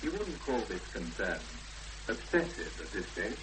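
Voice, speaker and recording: A man playing a calm, probing interviewer in a 1970s radio drama, asking measured, formal questions. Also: on the low side. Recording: noisy, hissy 1970s radio broadcast recording.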